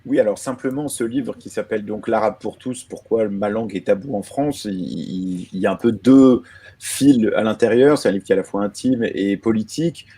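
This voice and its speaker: A man in his late thirties, from Lebanon, speaks with a mellow, husky voice that sits a little low. He answers questions over a video call at an even, reflective pace. He explains his points one by one. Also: normal speed. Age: 30s. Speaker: author guest. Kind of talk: conversational interview answers. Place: home in the evening, remote.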